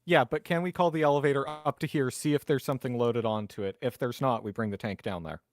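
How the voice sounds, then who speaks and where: A man's voice: mid-range voice, fast, no echo, clean studio sound. A man playing a tabletop roleplaying game, chatting casually in a blunt, wry way.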